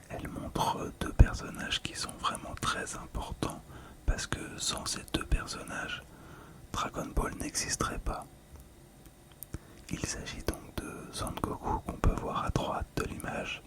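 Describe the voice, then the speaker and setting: Middle aged asmr person, all whispered, calm and gentle, bit hesitant, right up on the mic. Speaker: ASMR video host.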